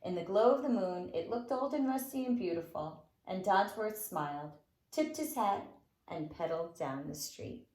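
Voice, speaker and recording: A woman's voice: medium pitch, lively intonation, moderate pace, a little mellow. A woman reading a storybook aloud to young children as a teacher, expressively and with dramatic emphasis. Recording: roomy, echoing room sound.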